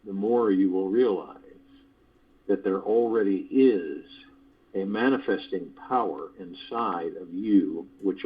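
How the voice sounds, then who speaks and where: A man hosting a guided meditation on a conference call, speaking in measured, deliberate phrases with pauses, like a spiritual motivational talk. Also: lowish voice, husky. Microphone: phone conference call. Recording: dull lo-fi conference call audio.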